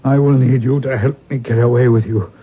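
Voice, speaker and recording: An actor as a dying Irish nobleman in an old radio play, commanding yet weary and urgent. His voice is middle-pitched and a little husky, with halting deathbed phrasing. The recording is muffled and thin, like vintage radio. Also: fairly even intonation, close to an everyday voice. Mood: grave, pleading. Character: dying Irish lord, wounded rebel, commanding and fatherly. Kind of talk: old-time radio drama acting.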